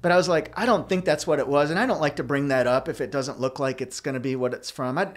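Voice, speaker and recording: A man's voice: fairly high pitch, quick pace. A middle-aged man with a young-sounding voice, hosting a podcast and telling rambling stories full of fillers and restarts. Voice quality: youthful-sounding voice. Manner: chatty storyteller with many fillers and restarts. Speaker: podcast host. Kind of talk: casual chat.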